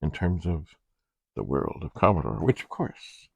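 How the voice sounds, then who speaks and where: A man's voice: rumbling low, sing-song, unhurried, gravelly, lo-fi sound, background noise. A man chatting casually as a video host, rambling and thinking aloud as he shows an item. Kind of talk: casual unboxing chat.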